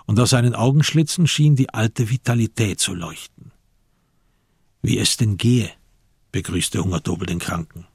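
Male narrator, low husky voice, literary radio drama reading, dry studio sound. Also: dry recording.

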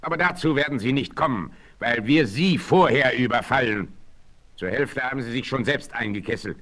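An actor playing a bold frontier hunter and leader, declamatory and decisive, in a 1960s audio drama. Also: male voice, mid-range voice, moderate pace, fairly bright tone, natural voice. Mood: resolute, commanding.

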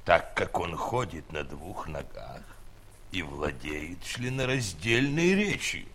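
An actor in a comic audio play playing a solemn, pompous court scholar with a deliberate, learned manner. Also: male voice, deep voice, big pitch swings, fairly bright tone, a little hiss or hum, full character voice. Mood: solemn, pondering.

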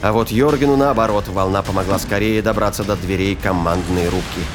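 A man's voice: on the low side, fairly quick. A man narrating a scripted action story as an audio drama narrator. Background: music and sound effects.

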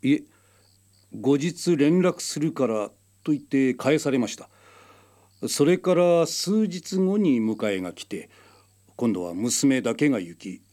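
A man's voice: mid-range voice, big pitch swings, slow pace, dark tone. An actor playing an earnest, easily astonished middle-aged father in a radio drama. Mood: earnest recounting. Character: excitable, bewildered middle-aged father telling what he witnessed.

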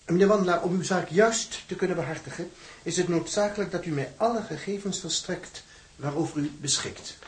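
Actor as formal, higher-voiced defence lawyer. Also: male voice, natural voice. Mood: businesslike. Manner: formal, measured, polite. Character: formal, businesslike male court-appointed defence lawyer. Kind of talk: dramatized radio or audio drama acting.

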